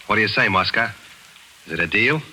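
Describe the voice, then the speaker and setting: A hard-boiled American man, cool and cynical, with a clipped tough-guy delivery. His voice is lowish, very bright and husky. It sounds like an old radio broadcast. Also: lively intonation, brisk, exaggerated voice. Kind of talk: 1940s radio acting.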